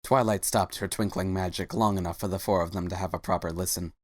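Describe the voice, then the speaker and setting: A woman narrates a dramatic story reading. Her voice sits extremely low for a woman, and the sound is dry, with little room around it. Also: fairly even intonation, fast, darkish tone, no room sound.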